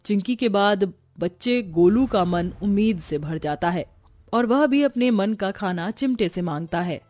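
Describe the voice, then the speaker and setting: Female narrator for animated story, mid-range pitch, scripted delivery, very dry recording with light music. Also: unhurried, no room sound.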